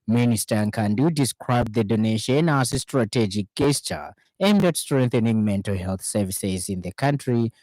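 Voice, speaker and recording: A man's voice: on the low side, darkish tone, no room sound, rough recording. Zambian male radio newsreader, close dry sound. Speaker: radio news reader. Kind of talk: formal scripted news bulletin reading.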